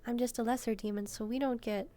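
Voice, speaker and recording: Woman playing a soft, mellow, timid demon maid. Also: on the high side, fairly even intonation, fast talker, close to an everyday voice. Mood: gentle, chatty. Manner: hesitant, timid, musing aloud. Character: gentle, shy female lesser demon maid. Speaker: roleplay video creator. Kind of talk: soft-spoken first-person roleplay, relaxing.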